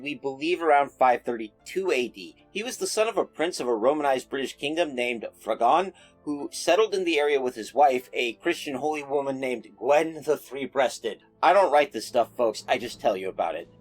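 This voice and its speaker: A man's voice: a little high, low-quality recording. A man narrating folklore in a casual storytelling style, with wry joking asides.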